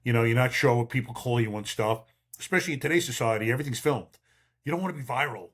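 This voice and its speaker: A man's voice: medium pitch, fast talker, a bit bright, husky. A man in his fifties or older talking casually as a podcast host and veteran cop, blunt and emphatic with streetwise phrasing. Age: 50s or older. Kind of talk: casual conversational advice.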